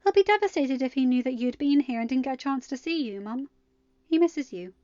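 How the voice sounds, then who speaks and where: A woman's voice: high voice, animated, a little fast, dark tone, no echo, highs cut off, pitched above the performer's own voice. A woman voicing a playful, affectionate teenage daughter in a storybook, teasing and informal. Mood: pleading.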